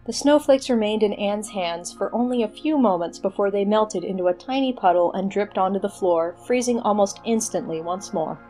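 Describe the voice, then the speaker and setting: A woman's voice: mid-range voice, animated, normal speed, hardly any pauses, warm tone, faint music behind. A woman working as a narrator, reading scripted material for an audio story production.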